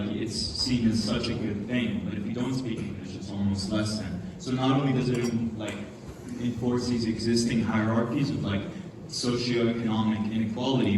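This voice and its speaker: A student from Syria speaking earnestly, with many fillers. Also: male voice, fairly low pitch. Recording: distant, echoey hall with noise.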